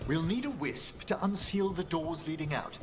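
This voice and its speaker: An actor playing a courteous, scholarly older necromancer professor in a video game, speaking formally and eloquently over music. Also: male voice, on the high side, expressive, moderate pace, background noise, lightly acted. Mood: concerned, instructive. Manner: genteel, formal, eloquent phrasing. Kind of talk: video game character dialogue. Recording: loud game music underneath. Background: loud music.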